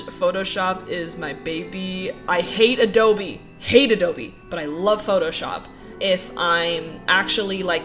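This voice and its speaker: A woman's voice: fairly low pitch, bright, forceful tone. Blunt, laughing young woman over game music. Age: young adult, 21. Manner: blunt and opinionated, laughs often, swears. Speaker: animation student and YouTube artist. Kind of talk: casual Q&A vlog. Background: video game music.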